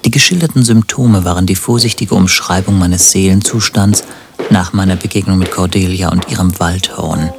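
An actor playing a lovelorn, self-pitying hypochondriac in a German radio play, with ornate, wry phrasing. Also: male voice, low-pitched, normal speed, vocal fry, a little mellow, a little hiss or hum. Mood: wistful, wry. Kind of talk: German radio play acting, literary monologue and dialogue.

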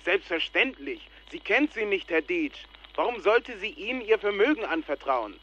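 An actor playing a self-assured, formal and insistent Nigerian scam official in a radio play, speaking over the telephone. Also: male voice, sits high, very animated, normal speed, bright, forceful tone, full character voice. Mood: brusque, assured. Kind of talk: radio play acting, formal phone talk. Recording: dull, noisy telephone line.

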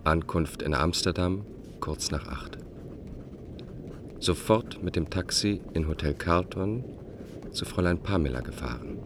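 Very deep, slow, coldly methodical man. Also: background noise. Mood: detached, precise. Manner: clipped, matter-of-fact. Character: cold, methodical man logging his own movements. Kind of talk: radio play monologue, clipped telegram-like diary. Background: music.

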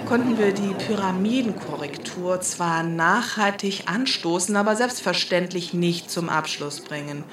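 A woman's voice: on the low side, a little slow, hardly any pauses, a crowd in the background, close to an everyday voice. An actress playing a glib bureaucratic spokeswoman, explaining things in officious, jargon-laden language. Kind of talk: mock-official interview statement in satirical drama.

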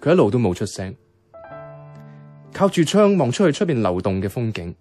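Man acting a reflective radio drama narrator, hong kong cantonese, very lively intonation, faint music behind. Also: medium pitch, moderate pace, close to an everyday voice. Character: reflective male narrator recalling a quietly troubled woman friend. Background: guitar music.

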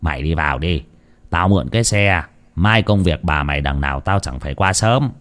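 A man voicing a gruff, teasing middle-aged village clan head in a told story, scolding in an earthy, sly way. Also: very deep voice, animated, normal speed, a bit bright, pitched below the performer's own voice. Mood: brusque teasing.

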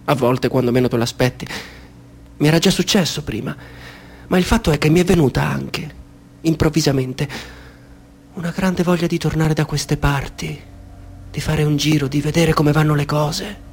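An actor playing a polite, soft-spoken stranger in a radio drama who talks in a calm, measured way with a hidden menace. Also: male voice, fairly high pitch, slightly rough.

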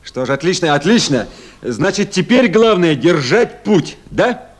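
An actor playing a busy, attentive and kindly duty officer in a 1970s Soviet radio play. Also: male voice, a little high, very animated, measured pace. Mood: brisk, encouraging.

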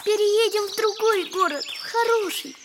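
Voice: extremely high pitch, moderate pace, background noise. A performer playing a loving, distressed little schoolboy with a plaintive, pleading manner in an audio drama. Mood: sad, wistful.

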